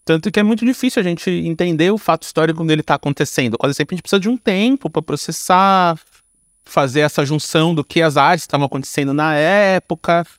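A man's voice: on the high side, fast talker, no room sound, studio quality. A man in his forties with a Brazilian accent, talking casually and reflectively as an interview guest, using slang and everyday examples. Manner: informal, slangy, explains through examples. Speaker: podcast guest, storyteller and creator.

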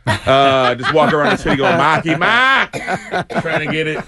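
A man from Boston, a comedian guest on a morning radio show, telling stories in an animated way and laughing often. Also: on the high side, moderate pace, over a noisy crowd. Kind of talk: casual radio chat.

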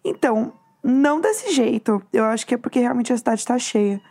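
A Brazilian woman co-hosting a casual podcast, hoarse from losing her voice, chatty and telling stories with acted-out quotes. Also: fairly high pitch, expressive, moderate pace, mellow. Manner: chatty, storytelling, acts out quotes. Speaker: podcast co-host. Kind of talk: casual chat.

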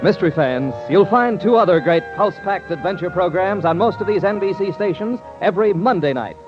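Male 1950s American radio announcer, high and husky, formal promo delivery over music, dull old broadcast sound. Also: expressive, a little fast. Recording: dull vintage radio recording, music behind.

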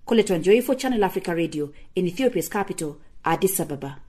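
A woman radio correspondent delivering a measured news report. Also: lowish voice, expressive, warm tone.

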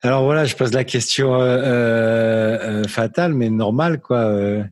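A man's voice: mid-range voice, measured pace, a little mellow, no room sound, studio quality. A man hosting a casual interview in an informal, hesitant way, often repeating his phrases as he goes. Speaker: interviewer, host. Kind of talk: casual conversational interview in French.

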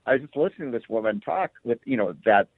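A man's voice: mid-range voice, fast, creaky, husky, no room sound. Chatty economist man on a phone line. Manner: chatty, animated, laughs while talking. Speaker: economist guest. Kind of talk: phone-in interview.

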